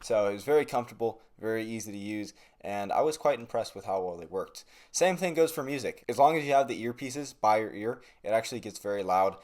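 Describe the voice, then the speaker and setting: A man's voice: lowish voice, lively intonation, a little fast, few pauses, a little mellow. A young man giving a casual, conversational product review to camera in a laid-back, slangy way. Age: young adult. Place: small bedroom, indoors.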